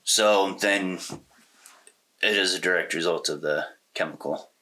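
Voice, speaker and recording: Man, deep pitch, bright tone, casual film talk, podcast co-host, loose thinking-aloud delivery. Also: a little slow, low-quality recording.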